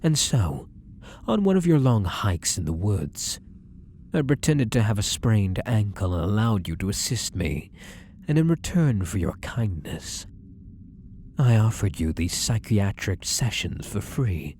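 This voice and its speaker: Man acting a possessive, low-voiced, lovestruck fake psychiatrist. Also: big pitch swings, a little hiss or hum. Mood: obsessive, intimate. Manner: soft, slow coaxing murmur slipping into whispers. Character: possessive, obsessively loving male fake psychiatrist, tender stalker. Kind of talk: ASMR roleplay.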